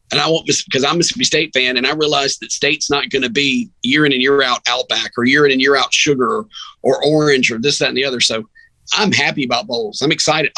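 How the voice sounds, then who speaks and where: A man's voice: mid-range voice, sharp tone, husky, no room sound, poor audio. A man talking sports casually as a podcast guest, opinionated and emphatic, often rambling into asides. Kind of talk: sports talk, casual conversation.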